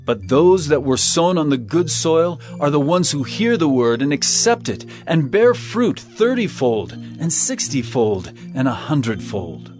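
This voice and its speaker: A man playing an authoritative teacher preaching parables in a dramatized scripture reading, speaking with deliberate emphasis. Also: fairly high pitch, sing-song, a little mellow. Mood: earnest, explaining. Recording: music behind the voice.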